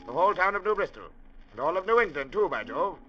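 An actor in an old radio drama playing a pompous, effusive small-town judge who speaks like an orator. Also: male voice, high voice, big pitch swings, fast talker, fairly bright tone. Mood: effusive, flattering. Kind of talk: 1950s radio drama acting. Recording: muffled, lightly noisy old radio recording.

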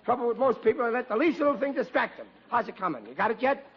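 A muffled vintage radio comedy carries a middle-aged dad, high-pitched and husky. He blusters in plain working-class speech, stubborn, dim and well-meaning. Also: very animated, fast talker, exaggerated voice. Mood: exasperated. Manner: exasperated, stammering, colloquial working-class bluster. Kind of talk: old-time radio sitcom acting.

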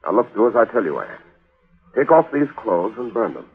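Low-voiced, intense radio-drama hero. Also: male voice, sing-song, fairly quick, breathy edge, heavily acted. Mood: determined. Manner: intense, melodramatic. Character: earnest protective fiancé turned desperate avenger. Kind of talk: 1940s radio mystery acting. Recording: tinny old radio recording with music.

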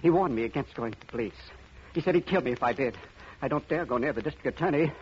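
A man's voice: mid-range voice, expressive, fast talker, darkish tone, close to an everyday voice. An actor playing a frightened man urgently begging for help in a 1950s radio drama. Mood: terrified, desperate. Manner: urgent, pleading, breathless phrasing. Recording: muffled, noisy old radio recording.

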